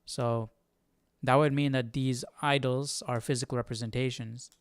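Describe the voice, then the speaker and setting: A man with a clean, smooth voice and a middling pitch chats casually as a study host. He rambles a little, questioning and reasoning his way along. The sound is dry and close. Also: fairly even intonation, moderate pace, no room sound. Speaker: Bible study livestream host. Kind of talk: casual thinking aloud, conversational.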